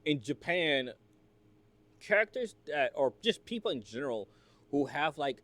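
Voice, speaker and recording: A man's voice: fairly high pitch, sing-song, a little slow, a bit bright, no room sound. A man from the United States hosting a reaction video, talking casually in a rambling, filler-heavy, chatty way.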